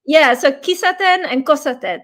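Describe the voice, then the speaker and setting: A woman's voice: high voice, normal speed, fairly bright tone. A woman whose first language is Dutch, a guest in a casual interview, explaining things patiently with many fillers.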